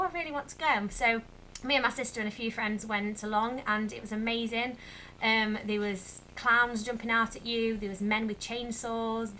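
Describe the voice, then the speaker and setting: Chatty young woman, bright voice. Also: mid-range voice, a little hiss or hum, low-quality recording. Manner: chatty, rambling with many fillers. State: slightly croaky. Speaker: vlogger. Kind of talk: casual chat to camera.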